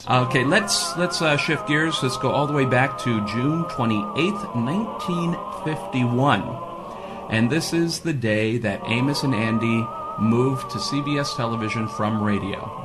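A man co-hosting a talk show and working as a voice actor, explaining history in a steady, storytelling way. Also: medium pitch, a little slow, music in the background. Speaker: co-host, voice actor.

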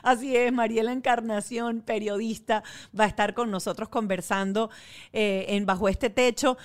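A woman's voice: fairly high pitch, steady tone, moderate pace. Chatty woman podcast co-host. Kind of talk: casual podcast chat.

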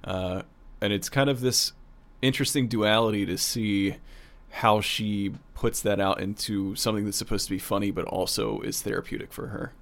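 A man's voice: fairly low pitch, steady tone, normal speed, fairly bright tone. A man co-hosting as a producer, chatting casually in an agreeable, informal way. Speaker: producer and co-host. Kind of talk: casual interview chat.

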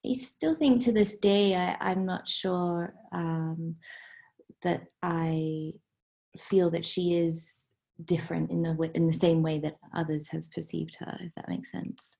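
A woman in her thirties from Hong Kong, a novelist speaking hesitantly as an interview guest, with many ums and soft whispered bits. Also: lowish voice, steady tone, unhurried, vocal fry. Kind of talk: casual interview.

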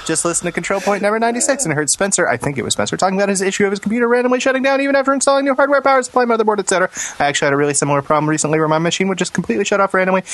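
A man's voice: fairly high pitch, a bit bright. A man co-hosting a casual podcast, with a joking, irreverent way of talking. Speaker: podcast co-host. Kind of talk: casual banter and email reading.

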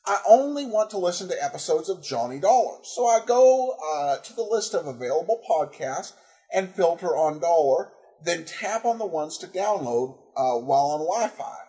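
A man hosting a podcast, speaking informally in a halting, hesitant way as he reads and answers listener feedback. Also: high-pitched, animated, dark tone, low-quality recording. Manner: halting, hesitant, breaks up phrases. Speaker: podcast host.

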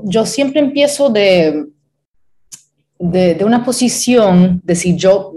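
A woman opera stage director raised in Pennsylvania, answering interview questions thoughtfully with many pauses and restarts. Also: lowish voice, mellow. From Pennsylvania, USA.

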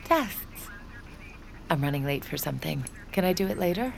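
A wounded, anxious grown daughter in an audio drama. Her voice crackles with fry and moves across a lively range of pitch. Faint noise sits behind her. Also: moderate pace. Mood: frightened. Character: Sid, anxious wounded adult daughter on edge.

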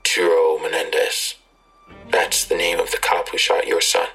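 A man playing a cagey, menacing internet ghost in an audio drama, terse and probing, with a low gravelly voice. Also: completely flat delivery, measured pace, a bit bright, soft background music.

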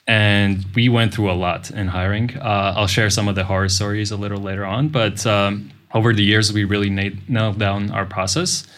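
A middle-aged man, a podcast guest explaining things in a measured way with frequent pauses. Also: on the low side, level tone, a bit bright. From Latvia. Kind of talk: casual interview talk.

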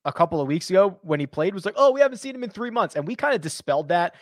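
A man's voice: high-pitched, very fast, no room sound, clean studio sound. A man hosting a sports betting podcast, chatting casually with energy and wrapping up the show. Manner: energetic, chatty, upbeat sign-offs. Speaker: podcast host.

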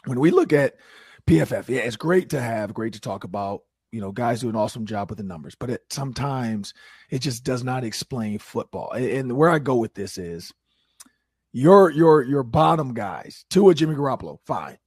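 A man hosting a sports talk show, his voice hoarse from shouting, talking energetically. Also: medium pitch, normal speed, no echo, studio quality. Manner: animated, energetic opinions. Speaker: host.

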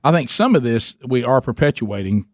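A man's voice: mid-range voice, animated, brisk, dry recording, clean studio sound. A man hosting a call-in radio show, talking conversationally and circling back to rephrase as he explains his point. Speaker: radio talk show host.

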